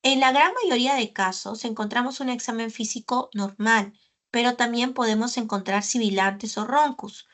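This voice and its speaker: Lady doctor teaching a class, bit high voice, lots of little "no?" tags and ums. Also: normal speed, a bit bright. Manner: didactic, with frequent "¿no?" tags and "eh" fillers. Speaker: pulmonologist giving a lecture. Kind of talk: medical lecture.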